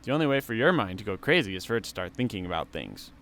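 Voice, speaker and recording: A man playing a slow-witted, joking young co-worker in a comedy audio drama, blunt and slangy. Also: lowish voice, sing-song, a bit bright. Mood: blunt, dramatic. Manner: blunt, colourful slangy speech.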